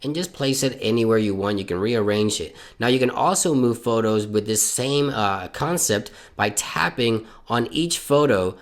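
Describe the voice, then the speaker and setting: A man's voice: medium pitch, lively intonation, normal speed, hardly any pauses. A man from Puerto Rico, a bilingual Spanish speaker, hosting a tech channel and walking viewers through phone tricks step by step in an upbeat, instructional way. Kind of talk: tech tips walkthrough.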